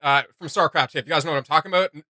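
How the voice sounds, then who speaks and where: A man hosts a reaction video in a fairly high, bright voice, recorded dry and close. He chats casually and excitably, full of slang and jokes. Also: very fast, no room sound. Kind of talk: casual, joking reaction chat.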